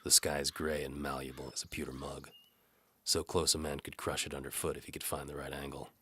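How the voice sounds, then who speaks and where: Very deep, hard-boiled male narrator. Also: little pitch movement, brisk, bright, forceful tone, slightly rough, no echo. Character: down-on-his-luck hired crossbowman, weary and cynical, telling his story. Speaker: narrator and voice performer. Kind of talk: scripted first-person audio drama narration.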